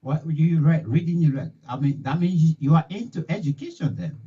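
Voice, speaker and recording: A middle-aged man hosting a live radio interview, talking in halting bursts with many fillers and restarts, recorded in a small home studio. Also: on the high side, mellow, husky. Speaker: talk show host. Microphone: studio mic with headphones. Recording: distant, dull lo-fi sound.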